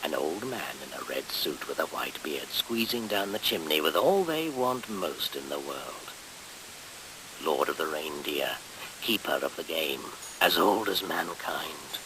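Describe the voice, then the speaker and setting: An actor playing an ancient, solemn and menacing midwinter shaman spirit in a radio play, speaking in incantatory, breathy half-whispers with dramatic pauses. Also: a bass voice, lively intonation. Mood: commanding, mysterious. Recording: hissy 1970s radio recording.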